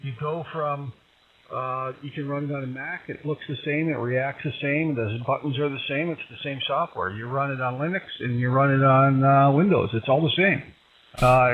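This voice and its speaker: A man talking casually as a ham operator, heard over a radio transmission. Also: mid-range voice. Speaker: amateur radio operator calling in. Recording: narrow, very noisy shortwave radio signal.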